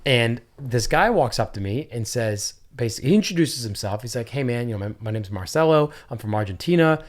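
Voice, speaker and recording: A man's voice: mid-range voice, fairly quick. Man casually telling a car story. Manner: animated storyteller, acts out quotes. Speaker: podcast host.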